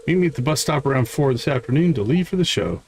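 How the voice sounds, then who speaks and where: A man voicing an excited young male musician in a video game, reading the dialogue in an eager, upbeat way. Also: medium pitch, fast talker, a little hiss or hum, close to an everyday voice.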